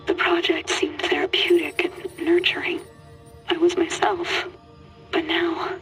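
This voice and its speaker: A homesick, guilt-ridden woman scientist confesses into a secret audio log in a video game. Her very high voice is hushed and breathy, moving slowly and sorrowfully over faint music. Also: exaggerated voice, rough recording. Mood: bitter, sorrowful.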